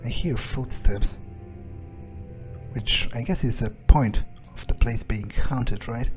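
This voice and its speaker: Man muttering game commentary over loud music. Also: medium pitch, slow pace. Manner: casual, muttering, foul-mouthed, reacts aloud in character as a vampire. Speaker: gaming streamer, let's player. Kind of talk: in-character gameplay commentary, thinking aloud. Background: video game music.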